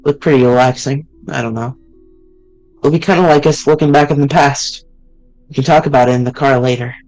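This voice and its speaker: A man's voice: mid-range voice, steady tone, fairly quick, darkish tone, soft background music, close to an everyday voice, poor audio. A man voicing a loyal young male friend character while reading a fan visual novel aloud on stream. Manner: casual, blunt delivery with mild swearing.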